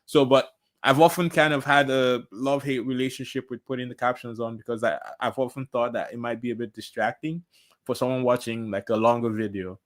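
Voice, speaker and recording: A man's voice: mid-range voice, fairly even intonation, fairly quick. A man from Nigeria hosting a podcast, casual and agreeable, laughing easily and restarting his sentences. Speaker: podcast host. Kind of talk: casual interview chat.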